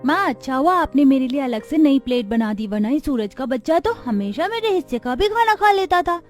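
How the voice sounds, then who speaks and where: A woman puts on an eager, plaintive village kid for a cartoon story. The voice is high-pitched and childlike, over faint music. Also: lively intonation, fairly quick. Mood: complaining.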